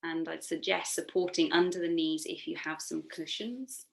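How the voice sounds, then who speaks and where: A woman yoga instructor leading a guided relaxation meditation in a soothing, gently encouraging, unforced manner. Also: low-pitched, flat delivery, moderate pace, vocal fry, poor audio.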